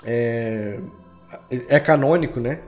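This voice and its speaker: A man's voice: medium pitch, slow, darkish tone. A man with a Brazilian accent speaking casually and informally, with plenty of slang, as a podcast guest. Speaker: podcast creator being interviewed. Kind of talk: casual chat. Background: music.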